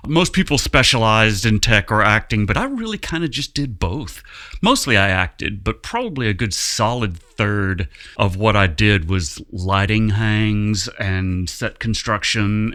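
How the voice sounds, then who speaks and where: A man's voice: fairly low pitch, sharp tone. A man chatting casually as a theatre director being interviewed, with a self-deprecating manner. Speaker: community theatre director, guest.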